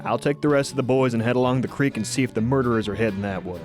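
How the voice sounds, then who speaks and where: An actor playing an authoritative, folksy county sheriff in a radio drama. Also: male voice, mid-range voice, very fast, music in the background, close to an everyday voice. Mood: grave, speculating. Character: commanding county sheriff leading a posse in mining country.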